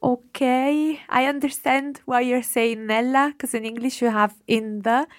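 A woman teaching a language lesson. She reads prompts slowly, repeats them, and corrects gently. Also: high-pitched, fairly even intonation, dry recording, studio quality.

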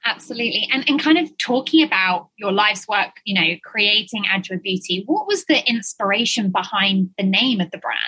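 Bright, slightly husky woman interviewer. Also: mid-range voice, animated, moderate pace, hardly any pauses, low-quality recording. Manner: affirming, encouraging listener. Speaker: interviewer, host. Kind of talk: conversational interview questions.

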